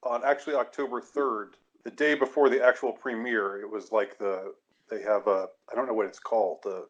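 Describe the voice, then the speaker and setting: A man's voice: on the low side, steady tone, normal speed, muffled recording. A man hosting a podcast discussion, talking casually and hesitantly with many restarts. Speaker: podcast host.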